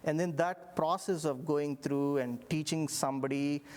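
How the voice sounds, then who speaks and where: A middle-aged man from India with an Indian accent, a professor recounting his path in a relaxed interview, reflective, with many fillers and restarts. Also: on the high side, normal speed. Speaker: engineering professor. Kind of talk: interview storytelling.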